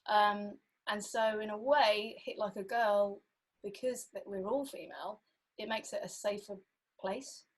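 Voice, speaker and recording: A woman's voice: medium pitch, steady tone, unhurried, low-quality recording. A woman drummer chatting casually on a podcast, thinking aloud with many fillers.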